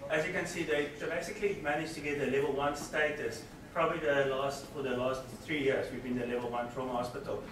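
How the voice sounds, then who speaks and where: South African man in his forties, lecturing informally at a lectern, heard from across a booming room with a steady background hiss. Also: fast, few pauses. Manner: informal, hesitant, frequent ums and restarts. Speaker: trauma surgeon giving a keynote. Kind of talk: conference lecture. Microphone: lectern mic picked up by a distant camera. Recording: echoey room, far camera, steady background noise.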